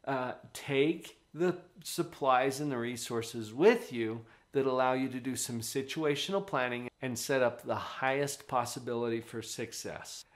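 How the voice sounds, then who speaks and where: Brief: man, mid-pitched, parenting coach, chatty and emphatic, office setting. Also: fairly bright tone. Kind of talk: direct-to-camera parenting advice.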